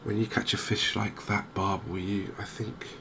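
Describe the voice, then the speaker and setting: A man hosts a cozy gaming video in a soft, low voice. His delivery is gentle and unhurried, with very little rise and fall, as if musing to himself. Clear game ambience runs behind him. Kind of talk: softly spoken cozy ASMR gaming commentary. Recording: clear steady ambient background noise.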